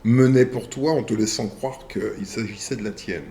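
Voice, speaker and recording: A man hosting a video channel. He reads viewer messages aloud and answers them in a deliberate way, in short phrases with pauses. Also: fairly low pitch, mellow.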